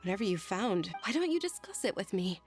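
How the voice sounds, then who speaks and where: A woman voicing a polite, caring young noblewoman in a video game, speaking gently and attentively. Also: mid-range voice, big pitch swings, fairly quick, lightly acted. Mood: gentle, curious. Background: game music.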